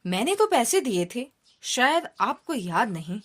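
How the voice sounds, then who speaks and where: A woman's voice: on the high side, sing-song, unhurried, fairly bright tone, heavily acted. A woman voicing a mischievous but good-hearted ten-year-old boy in a children's moral story. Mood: glib.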